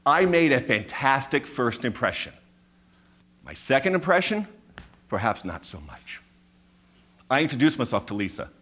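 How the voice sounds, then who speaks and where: A man in his fifties telling a personal story on stage, with a stutter that repeats sounds and words, building his points through measured, emphatic repetition. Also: medium pitch, sing-song, a bit bright. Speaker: speaker giving a talk.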